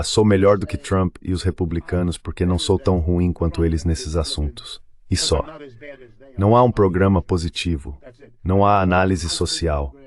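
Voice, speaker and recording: A man's voice: deep voice, a little mellow. A man with a Brazilian accent reading a translated interview as a voice-over.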